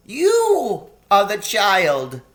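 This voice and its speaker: A man's voice: high-pitched, huge pitch swings, drawn-out words, a bit bright, voice pushed up, full character voice. A man voicing a timid, kind male faun in a storybook, weepy and guilt-ridden, pleading in an anguished stammer. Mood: guilty, distraught.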